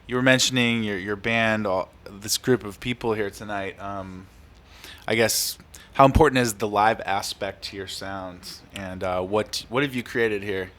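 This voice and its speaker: A man's voice: lowish voice, measured pace, fairly bright tone. A man hosting a casual music interview, asking questions in an informal, supportive way. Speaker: interviewer, music show host.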